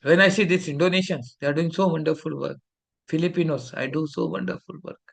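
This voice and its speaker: Man, Indian English accent, lecturing a class, emphatic, slightly high voice. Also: fairly even intonation, a little fast, gravelly. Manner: emphatic, lecturing, sometimes scolding.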